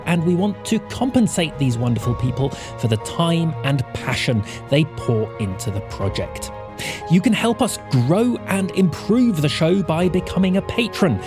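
An announcer reading scripted podcast credits in a presentational style. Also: medium pitch.

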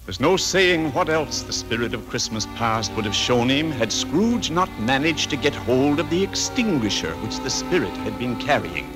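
A man narrating a story in a measured, solemn old-time radio drama style. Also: mid-range voice, very animated, poor audio. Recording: vintage radio broadcast, music behind.

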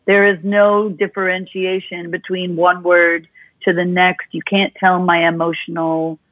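A woman's voice: lowish voice, little pitch movement, measured pace, no echo. A woman vocal coach as an interview guest, explaining expressively and demonstrating voices, talking with her hands. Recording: tinny phone-like dry sound.

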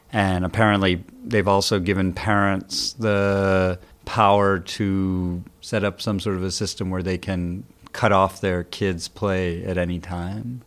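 A man with a low-pitched voice talks through a news story as a guest commentator. He is informal and conversational, phrasing things loosely as he explains. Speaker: senior editor, guest commentator.

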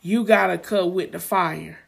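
A woman's voice: fairly low pitch, a little slow, gravelly, husky. A woman co-hosting a faith podcast, exhorting listeners and repeating phrases for emphasis in a preaching style. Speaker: podcast co-host.

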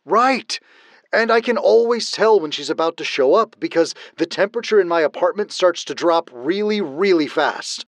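Higher-voiced, hesitant male caller. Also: expressive, moderate pace, no echo. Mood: uneasy, earnest. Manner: hesitant, self-conscious. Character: nervous, hesitant male radio caller, grieving son. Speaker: caller to a radio show. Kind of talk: naturalistic audio drama dialogue.

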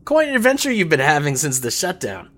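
An actor playing a chatty, theatrical old revolutionary with folksy charm in an audio drama. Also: male voice, a little high, wildly swooping pitch, brisk, fairly bright tone. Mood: jovial, effusive. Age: older. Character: jovial old male revolutionary, garrulous and scheming.

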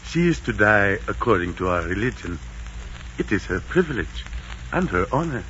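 An actor playing a proud, devout Aztec emperor with a stately, solemn, formal manner in 1940s radio drama style. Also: male voice, lowish voice, very animated, normal speed, a bit bright. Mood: solemn, firm. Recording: muffled, noisy 1940s radio recording.

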